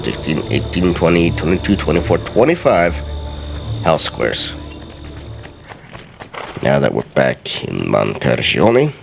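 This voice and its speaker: Male let's play host, extremely low gravelly voice, theatrical reader, game music underneath. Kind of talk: game commentary with dramatic reading of in-game texts.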